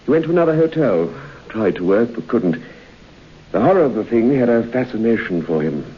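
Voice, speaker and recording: A man in a vintage radio drama telling a grim story in a grave, measured way. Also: mid-range voice, very animated, husky. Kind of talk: 1950s radio drama acting. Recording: dull old radio broadcast with hiss.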